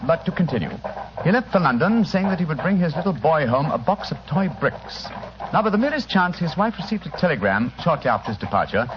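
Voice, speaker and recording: Authoritative gentleman detective, precise diction, widely varied pitch, old radio drama, heavy noise. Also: sing-song, fairly quick. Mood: composed, expository. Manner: precise, theatrical narrating. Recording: muffled, noisy old radio recording.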